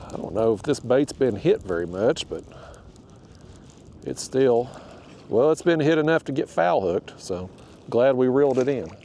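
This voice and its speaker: A man's voice: medium pitch, animated, measured pace, pauses often, warm tone, a little hiss or hum. A man, stuffed up and nasal, chatting to viewers and to the fish while out on a kayak. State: stuffed-up, nasal from a cold wind. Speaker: kayak fishing channel host. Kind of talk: casual narration while fishing. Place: outdoors on a kayak on a river.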